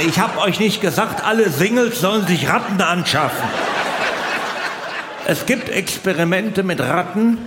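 A middle-aged man doing stand-up comedy in a colloquial, mock-exasperated way, full of rhetorical questions. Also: sits high. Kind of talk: stand-up comedy to a live audience. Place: large live venue. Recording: roomy live hall, noisy background.

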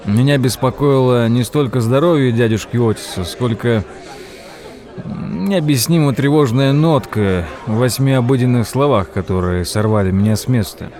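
An actor playing a wry man who tells tall tales in an audio play, theatrical and deliberate, with dramatic pauses. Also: medium pitch, darkish tone, close to an everyday voice. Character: wry male raconteur telling tall tales over drinks. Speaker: narrator. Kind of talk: dramatized first-person storytelling in an audio play. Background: background music.

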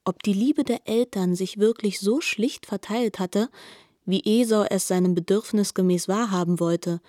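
A woman narrating a literary radio drama in a measured, formal, questioning manner. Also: mid-range voice, warm tone, dry recording, studio quality.

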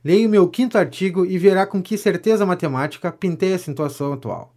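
An actor playing a vain, self-important gentleman pamphleteer in a Brazilian audio drama comedy, declaiming pompously and flattering as he goes. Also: sits high, fairly quick. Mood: self-satisfied, fawning. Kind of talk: audio drama of a 19th-century stage comedy.

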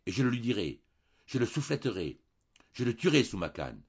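A man's voice: mid-range voice, big pitch swings, fairly quick, husky, muffled recording, voice pushed up. A man doing a furious old baron. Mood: outraged. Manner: outraged, shouting. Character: furious trembling old nobleman father.

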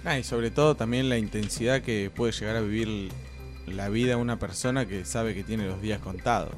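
An Argentine man with a fairly low voice co-hosts a radio show, bantering playfully. Music is clearly audible behind him. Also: moderate pace. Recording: prominent music under the voice. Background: clear background music.

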